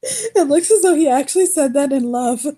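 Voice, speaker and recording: A woman's voice: high voice, expressive, dark tone. A woman playing a cat character in a tabletop roleplaying game, laughing often and dropping into whispers. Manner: giggly, quick asides, drops into whispers. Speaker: player.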